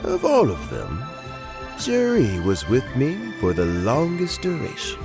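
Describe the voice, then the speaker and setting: Kindly old scholar guy from a video game, talks slow and thoughtful, music underneath. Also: mid-range voice, wildly swooping pitch, vocal fry, full character voice. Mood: reflective. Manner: measured, reflective, chuckling old man's delivery. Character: kindly, reflective old retired scholar and mentor.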